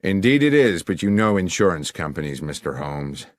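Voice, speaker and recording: A man's voice: low-pitched, very animated, normal speed, dry recording. A man voicing a formal, wordy middle-aged businessman client who is fond of puns, in an audiobook. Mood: grave, wry. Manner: formal, long-winded, jovially self-amused. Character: anxious middle-aged businessman client, verbose, pun-loving. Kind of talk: audiobook character dialogue.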